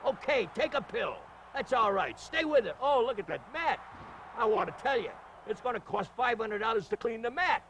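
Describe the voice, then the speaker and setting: A man calling wrestling as an excited commentator, with hyperbolic, exclamatory showman patter. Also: extremely high pitch, moderate pace, a little hiss or hum.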